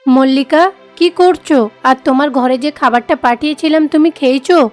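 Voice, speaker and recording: Woman voicing a placating, high-voiced mother. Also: a little fast, a little mellow, faint music behind, lightly acted. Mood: concerned. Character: caring, cautious, placating mother. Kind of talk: cartoon story dialogue.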